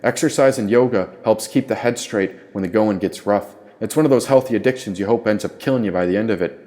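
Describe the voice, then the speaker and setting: A wise, brawny opossum of a storybook, voiced by a male reader, speaks in a lowish register. He proclaims his philosophy earnestly, as a spiritual warrior guiding a follower. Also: fast, darkish tone, natural voice. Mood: cheerful bragging.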